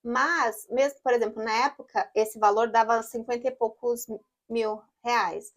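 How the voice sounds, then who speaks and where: A woman's voice: fairly high pitch, steady tone, a little slow. A woman co-hosting a podcast, chiming in and adding details in casual chat. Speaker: podcast co-host. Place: living in Canada.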